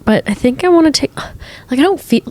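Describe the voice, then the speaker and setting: A young woman co-hosting a podcast while congested, chatting in a relaxed, rambling way with lots of fillers. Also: sits high, moderate pace. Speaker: podcast co-host. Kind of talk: casual chat.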